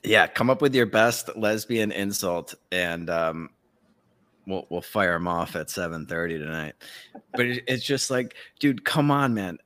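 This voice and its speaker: A man's voice: low voice, lively intonation, measured pace, a bit bright. A man co-hosting a podcast in casual comedic banter, irreverent, profane and rambling. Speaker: podcast co-host.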